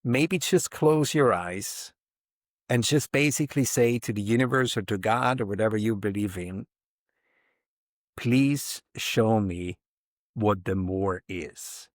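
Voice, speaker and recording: A man's voice: lowish voice, slow, no echo, clean studio sound. A man in his fifties, a podcast guest speaking reflectively and emphatically, often voicing inner thoughts as quotes. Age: 50s. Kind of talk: reflective interview talk.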